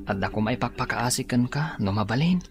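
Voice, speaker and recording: A man's voice: medium pitch, animated, brisk, music in the background, natural voice. A man with an Ilocano accent acting an earnest son seeking a lost twin in a radio drama. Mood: earnest, pleading.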